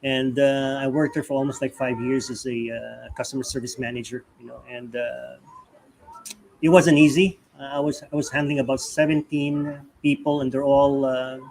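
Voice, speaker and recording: A man's voice: medium pitch, moderate pace, poor audio. A man from the Philippines with a Filipino accent, telling personal stories conversationally as an interview guest, often chuckling. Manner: frequent chuckling, animated retelling with acted-out quotes.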